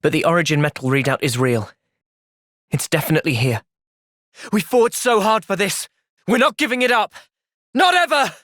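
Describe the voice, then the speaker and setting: Young British male hero for a video game: earnest, thoughtful, lively pitch swings, dry close studio sound. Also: moderate pace, pauses often, no echo. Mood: anguished, urgent. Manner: earnest, polite. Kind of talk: video game cutscene dialogue.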